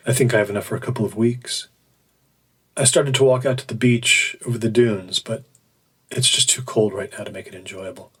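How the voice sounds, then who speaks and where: An actor playing an anguished, burned-out man in his forties, narrating his own story haltingly in an audio drama. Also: lowish voice, slightly rough. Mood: weary, reflective. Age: 40s. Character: burned-out, anguished middle-aged office man recounting his breakdown.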